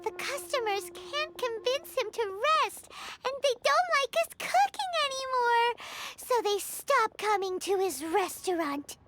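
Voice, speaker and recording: A woman voicing a small, excitable, childlike female fairy companion in a video game, with a squeaky voice and a bubbly, chatty manner. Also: a little slow, very noisy, full character voice. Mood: storytelling, eager. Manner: refers to self in third person, bubbly, thinks aloud.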